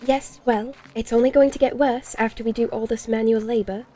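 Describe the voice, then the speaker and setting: Haughty, high-pitched actress as a lady vampire. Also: steady tone, fairly quick, warm tone, natural voice. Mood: testy. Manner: prim, dry, formal diction. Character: haughty, sardonic pale lady vampire in the Old West. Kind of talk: audio horror drama acting.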